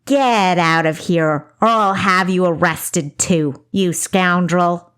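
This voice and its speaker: Woman voicing a slow, cruel, haughty baron. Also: on the low side, lively intonation, a bit bright, exaggerated voice. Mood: angry. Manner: commanding, contemptuous. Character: cruel, haughty male baron, sneering villain.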